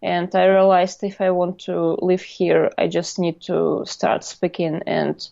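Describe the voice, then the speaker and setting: A woman's voice: lowish voice, little pitch movement, a little slow, vocal fry, dark tone, no room sound, highs cut off. A young adult woman from Russia with a Russian accent, a guest speaking English as a second language in a casual interview, hesitant with many fillers.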